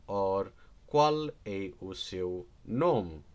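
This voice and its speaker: A man leads a live online language class at an unhurried pace, his voice swinging widely in pitch as he pushes key words up with sudden loud emphasis. He says each example phrase slowly, then checks in with a quick "right?". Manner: animated, stresses words with sudden loud high jumps; repeats example phrases slowly and asks "right?" after them. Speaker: language teacher.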